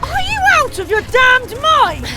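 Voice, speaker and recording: A woman voicing a fiery, exasperated young female assassin in an audio drama, shouting in shrill disbelief. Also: sing-song, unhurried, loud music behind the voice, full character voice. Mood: outraged.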